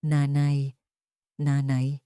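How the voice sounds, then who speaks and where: A woman voicing a cold, low-toned ghost girl. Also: completely flat delivery, drawn-out words, mellow, smooth, no echo, clean studio sound, full character voice. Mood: eerie calling. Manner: cold, accusing, insistent. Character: cold, resentful ghostly little girl in a mirror.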